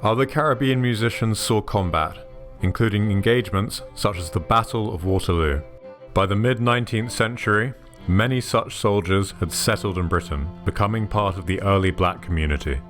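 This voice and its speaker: A man giving measured, scripted documentary narration. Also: lowish voice. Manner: measured, even documentary delivery. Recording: soft music underneath.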